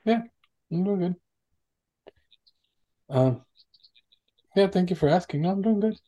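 A man's voice: high-pitched, animated, measured pace, dark tone, dull audio. A man chatting casually and politely in a group, stammering a little as he repeats words. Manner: polite, addresses others as sir. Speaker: meeting host.

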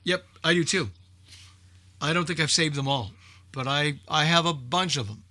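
A man with a very bright, cutting voice, pitched a little high, talks casually among friends as a radio host. He is chatty and folksy, and the sound is dry and close. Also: moderate pace, dry recording. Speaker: old-time radio show host. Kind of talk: casual group chat.

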